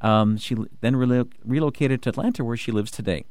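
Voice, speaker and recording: A man hosting a radio talk show from the studio, talking in an excited rush with many restarts and fillers. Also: medium pitch, quick pace, no echo. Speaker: radio host.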